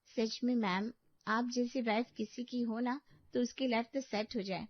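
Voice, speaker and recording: A woman's voice: fairly high pitch, steady tone, normal speed, no room sound, natural voice. A woman voicing a chatty young female shop employee who gossips about her boss. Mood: gossipy. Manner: chatty, insinuating. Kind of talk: cartoon story dubbing. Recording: dry dull lo-fi studio.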